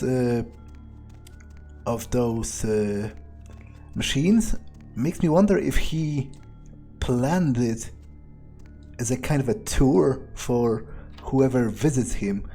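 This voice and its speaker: A man with a mellow, relaxed voice plays through a game in character. He thinks aloud haltingly, musing with dry understatement over a light bed of music. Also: medium pitch. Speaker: video game player role-playing his character. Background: faint music.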